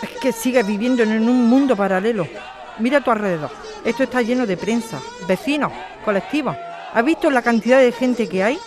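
An actress playing an indignant activist lawyer and daughter in an audio drama, challenging her opponent with forceful questions. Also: on the high side, fairly quick, natural voice. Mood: indignant, defiant. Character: combative activist lawyer daughter defending evicted neighbours.

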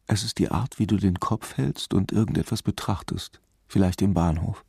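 An actor playing a brooding, lovesick police detective who narrates a radio crime drama. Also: male voice, low-pitched, moderate pace, vocal fry, a little mellow, husky, no room sound, studio quality, heavily acted. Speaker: lead actor and first-person narrator.

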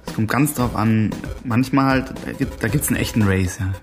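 A self-important hacker courier, played by a man, talking as if interviewed for a radio documentary. His lowish voice is colloquial and insistent, full of slang and tag questions, over music. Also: normal speed, lightly acted. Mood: proud, casual. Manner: colloquial, insistent, tags phrases with "ja?" and "ne?". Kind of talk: casual interview-like monologue in radio play. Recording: music behind the voice.

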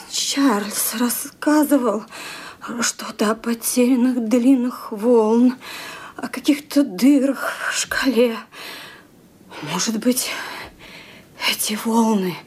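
An actress playing a frail, imperious old lady in an audio drama, musing with dramatic pauses. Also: fairly high pitch, darkish tone, husky. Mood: awed, hushed. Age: older. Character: frail, imperious elderly lady, anxious yet resolute. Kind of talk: Russian audio drama acting.

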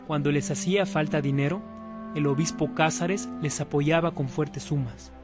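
A man's voice: on the high side, steady tone, normal speed. A man giving scripted narration for a radio drama. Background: music.

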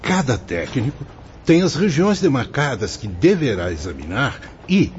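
A man's voice: mid-range voice, very animated, unhurried, lo-fi sound, a little hiss or hum. A man acting a wise, fatherly spirit mentor in a radio soap opera, speaking in a solemn, didactic, formal manner. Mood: patient, explaining. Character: wise, fatherly male spirit mentor explaining afterlife rules.

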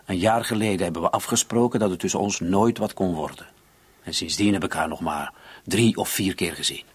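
An actor playing a defensive, irritable murder suspect in his late thirties, husky and breathy, in a radio drama. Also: deep voice, fairly quick. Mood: earnest, subdued. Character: defensive, irritated 37-year-old male murder suspect in love. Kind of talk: Dutch-language radio drama dialogue.

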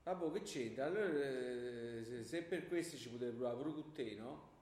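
An actor with a southern Italian accent playing a brash, flirty pupil who rambles in slangy dialect in a stage comedy. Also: male voice, mid-range voice, normal speed, a little mellow, natural voice. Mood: pushy, flirty. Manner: colloquial, rambling, pushy. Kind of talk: comic dialect stage acting. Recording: echoey room, faint background noise.

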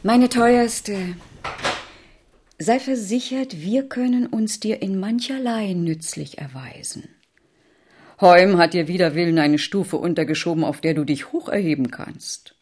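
An actress playing a flattering, scheming aristocratic court lady in a radio drama, with an effusive, insinuating manner. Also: fairly low pitch, unhurried, slightly rough. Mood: coaxing.

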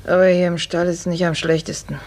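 A woman's voice: on the low side, flat delivery, fast, a little mellow, natural voice. An actress playing a motherly, world-weary stable woman in a 1950s German radio drama, soothing and resigned, on a faintly noisy old recording.

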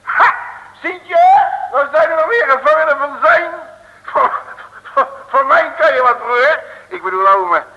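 An actor playing a cocky, blustering streetwise detective in an old Dutch radio play, with a cheeky colloquial manner. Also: male voice, very high voice, expressive, a little slow, long pauses, pushed and bright, dull audio, exaggerated voice. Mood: indignant. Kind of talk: 1950s-60s Dutch radio play acting. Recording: old radio recording, reverberant hall.

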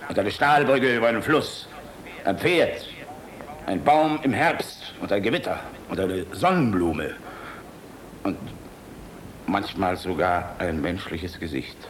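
Old general, lowish voice, very animated, gruff, fatherly, world-weary, blustery, radio play, slight background noise. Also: measured pace. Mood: impassioned, philosophical. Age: older. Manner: blustery, impassioned, philosophizing, shifting between barking and tenderness. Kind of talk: German radio play acting.

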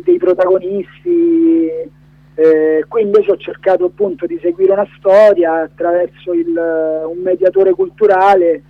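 A man's voice: sits high, no echo. A man being interviewed on the radio, talking in a hesitant, discursive way full of hedges. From Rome area, Italy. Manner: hesitant, discursive, many hedging fillers. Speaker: documentary director, interview guest. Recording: dull lo-fi phone-in sound.

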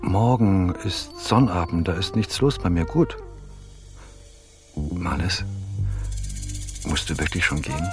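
A man's voice: low-pitched, lively intonation, slow pace, pauses often, a little mellow, faint music behind. An actor playing an unsure, pliant undertaker in love with his accomplice in a radio crime drama. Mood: hesitant, smitten. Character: hesitant, lovesick male undertaker, partner in crime.

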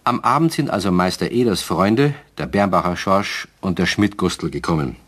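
A man's voice: sits low, expressive, normal speed, a bit bright. A man narrating a radio play as a storyteller, in scripted narration.

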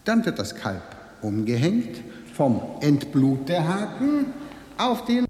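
A man's voice: a little high, wildly swooping pitch, slow pace, a little mellow, full character voice. A man acting in a radio drama as an evasive, officious slaughterhouse manager who guides a tour with pedantic precision and veiled menace. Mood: clinical, matter-of-fact. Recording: echoey hall with long reverb.

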